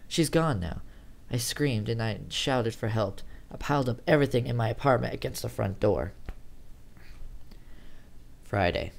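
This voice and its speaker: A man's voice: mid-range voice, expressive, normal speed, creaky, long pauses. A man reading a first-person horror story aloud in a dramatic, theatrical way, sometimes stumbling over words. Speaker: amateur horror story reader.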